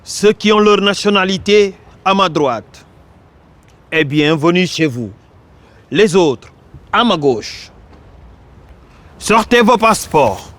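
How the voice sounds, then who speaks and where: A stern male border policeman reels off rule after rule in a bossy, lecturing tone, as a man plays him. The voice sits high and the delivery is slow and measured. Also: a bit bright. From Senegal. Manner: bossy, listing rules one after another.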